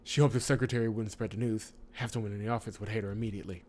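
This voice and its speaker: A man's voice: on the low side, racing. A man hosting a visual novel let's play, reading story text expressively with casual asides and corrections of his own slips. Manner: expressive reader who breaks off to correct himself.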